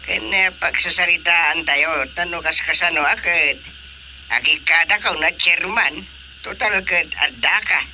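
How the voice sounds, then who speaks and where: A man's voice: on the high side, unhurried, pushed and bright, slight background noise, full character voice. An actor playing a plain-spoken elderly father with an Ilocano Filipino accent in a radio drama. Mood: coaxing.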